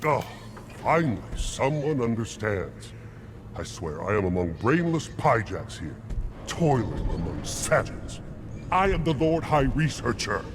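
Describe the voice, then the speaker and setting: An actor playing a pompous, bitter alien scientist with a grandiose manner, in a video game. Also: male voice, medium pitch, sing-song, unhurried, background noise. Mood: haughty, impatient. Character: pompous, bitter alien krogan scientist obsessed with explosive weapons. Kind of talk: video game character dialogue.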